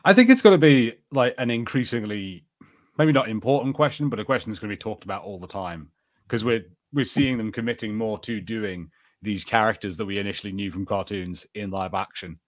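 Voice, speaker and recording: Lowish-voiced male podcast co-host. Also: fairly quick. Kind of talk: casual chat, fan discussion.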